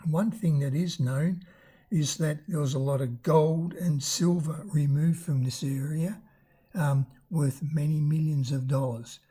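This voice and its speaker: An older travel vlogger with a raspy voice, narrating a road trip in a chatty, unhurried way, pausing now and then to search for words. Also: medium pitch, fairly even intonation, dark tone. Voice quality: raspy from damaged vocal cords.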